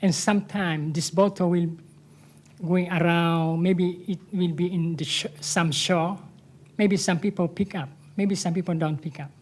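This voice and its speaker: Slow, halting man. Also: sits high, fairly even intonation. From Thailand. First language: Malay. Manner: halting, broken English with many restarts. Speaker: panelist writer. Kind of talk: panel discussion.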